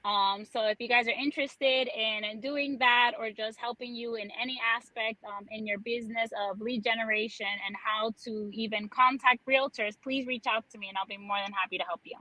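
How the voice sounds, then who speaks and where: An upbeat woman giving a persuasive pitch as a guest, with lots of "um"s and "right?"s. Her voice is bright and tense and sits a bit high, and the sound is very dry and close. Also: fairly even intonation, normal speed, few pauses, dry recording, rough recording. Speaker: social media specialist. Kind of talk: guest pitch on a live stream.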